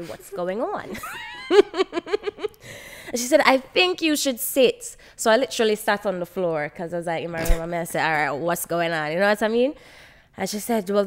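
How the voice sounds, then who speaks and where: A young woman with a Jamaican accent, telling stories casually and expressively as a podcast guest, acting out dialogue as she goes. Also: mid-range voice. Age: young adult. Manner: expressive, acts out quoted dialogue. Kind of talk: animated casual storytelling. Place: bright loft living room. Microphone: podcast microphone on boom arm.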